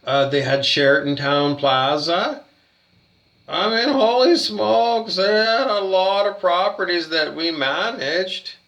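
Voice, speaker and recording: A man's voice: sits high, slow pace, a bit bright. A Canadian man rambling through a profane rant, full of restarts and fillers. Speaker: hotel guest complaining.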